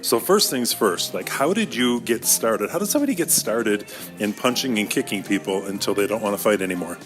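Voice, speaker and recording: A man's voice: fairly low pitch, expressive, a little fast, music in the background. A man hosting a casual interview in a conversational style, putting questions to a guest. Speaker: school podcast host and interviewer.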